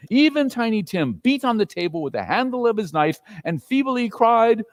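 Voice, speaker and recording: Animated man reading a story aloud. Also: very high voice, moderate pace, no echo, studio quality. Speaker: narrator in a dramatic reading. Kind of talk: theatrical storybook narration.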